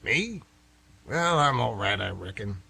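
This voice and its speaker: Guy acting a grumbly old-fashioned retired man in a radio play, kinda husky, folksy, voice goes up and down a lot. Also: mid-range voice, sing-song, measured pace, bright, forceful tone, exaggerated voice. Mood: grumbling, chatty. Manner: rambling, folksy, plain-spoken.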